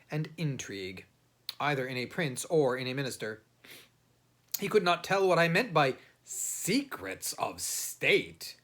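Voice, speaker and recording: Male audiobook reader, literary narration, theatrical, emphatic, highly animated intonation, dramatic pauses. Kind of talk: expressive literary narration, reading a classic satirical novel.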